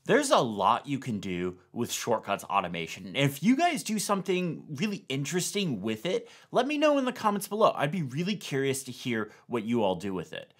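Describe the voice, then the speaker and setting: Man, lively casual tutorial delivery. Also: mid-range voice, moderate pace, few pauses. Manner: conversational, explanatory. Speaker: tech video host.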